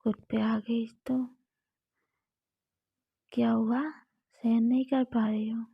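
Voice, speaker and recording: A woman's voice: a little high, flat delivery, slow, gravelly, breathy edge, no room sound, phone-quality sound, full character voice. A woman whispering the part of a bold, possessive young woman lover in a romantic story. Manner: whispered throughout.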